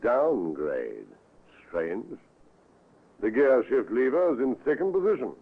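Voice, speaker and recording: An actor playing a brisk, skeptical police superintendent in clipped, questioning old-time radio drama style. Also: male voice, medium pitch, very animated, exaggerated voice. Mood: businesslike, musing. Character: brisk, skeptical British police superintendent, courteous but authoritative. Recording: muffled vintage radio recording, narrow band.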